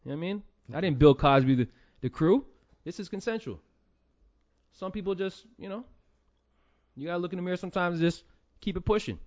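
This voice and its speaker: A man's voice: sits high, fairly quick, a little mellow, muffled recording. A man co-hosting a casual podcast, joking around and swearing freely. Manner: joking, brash, profane. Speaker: podcast co-host.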